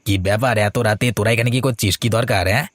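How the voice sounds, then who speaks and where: A man voicing a scheming, defiant village villain in a cartoon, husky and scornful. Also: fairly low pitch, fast, a bit bright, dry recording. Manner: challenging, scornful. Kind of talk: cartoon story voice acting.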